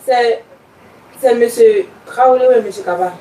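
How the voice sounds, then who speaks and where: A woman on a phone call, brisk and businesslike, checking names for a payment. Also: a little high, reverberant, very noisy.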